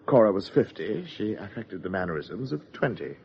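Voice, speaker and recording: An actor playing a pompous, sardonic party host in a 1950s radio drama, wordy and theatrical. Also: male voice, fairly low pitch, big pitch swings, normal speed, gravelly, husky, full character voice. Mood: disdainful. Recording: dull old radio recording.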